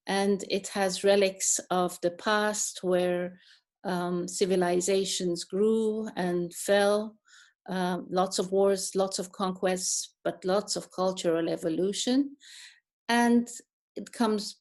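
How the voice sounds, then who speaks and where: A middle-aged woman from Iran giving an online talk on her career, speaking thoughtfully with many pauses, fillers and restarts. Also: on the low side, darkish tone. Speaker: guest speaker, neuroscientist.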